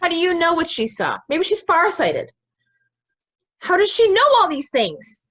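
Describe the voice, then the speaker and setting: Young woman playing hot-tempered stubborn female juror, high voice, lively swings in pitch, combative, blunt, slightly lo-fi audio drama. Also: measured pace, full character voice. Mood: challenging. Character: stubborn, hot-tempered juror dead set on a guilty verdict. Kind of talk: amateur audio drama acting.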